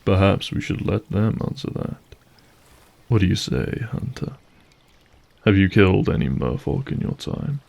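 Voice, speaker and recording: A man playing a suave, menacing monster in a scripted audio drama, mock-courteous and theatrical with a gravelly, husky voice. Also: deep voice, sing-song, a little slow, a little mellow, full character voice. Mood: silky threat. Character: suave, menacing male monster host, mocking and vengeful.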